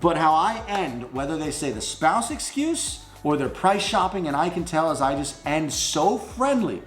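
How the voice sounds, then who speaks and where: A man giving an informal sales coaching talk, blunt and emphatic, slipping into sample phone pitches. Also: very animated, moderate pace, fairly bright tone. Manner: blunt, emphatic, salesman-like, addresses listeners as guys. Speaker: instructor teaching phone sales for junk removal. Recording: roomy echo with music underneath.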